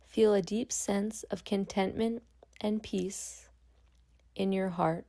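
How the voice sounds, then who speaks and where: A woman guiding a meditation as a yoga nidra instructor, speaking calmly and gently with long pauses. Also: medium pitch, gravelly. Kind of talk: guided meditation.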